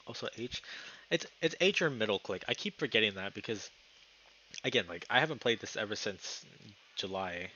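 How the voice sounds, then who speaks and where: A man's voice: lowish voice, animated, normal speed, bright, forceful tone, highs cut off, a little hiss or hum. A young man live streaming, moving between casual chat and reading story narration aloud.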